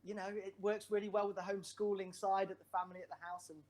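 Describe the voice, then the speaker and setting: A man's voice: high voice, little pitch movement, a little mellow. A man in his forties, a wellbeing coach, speaking earnestly as a guest, with frequent hesitations. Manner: earnest, halting with fillers. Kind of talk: conversational explaining. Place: brick garden office.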